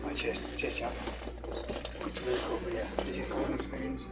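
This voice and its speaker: A man's voice: medium pitch, very animated, normal speed, loud music behind the voice. A British man vlogging casually while eating, rambling and opinionated with lots of restarts. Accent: British English. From Britain. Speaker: travel vlogger.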